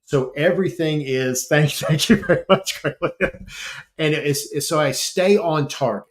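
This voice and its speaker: A man voice actor chatting on a panel, telling animated stories with frequent laughs and repeated starts. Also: on the high side, normal speed. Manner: animated storyteller, laughs often, repeats himself. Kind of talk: casual panel chat.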